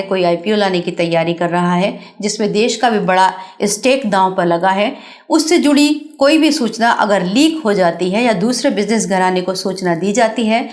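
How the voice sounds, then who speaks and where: An Indian woman presenter giving a scripted explanatory narration, instructive and speaking directly to the viewer. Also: mid-range voice.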